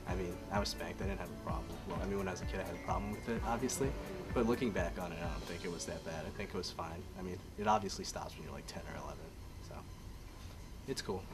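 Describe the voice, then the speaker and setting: Deep-voiced casual man, loud music behind. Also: fast talker. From New York and New Jersey, US. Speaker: interviewee. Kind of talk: casual interview chat.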